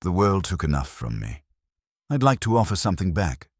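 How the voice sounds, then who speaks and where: A man voicing a calm, gracious woman cook in an audiobook detective story, plain-spoken and grateful. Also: low voice, brisk, no room sound, clean studio sound.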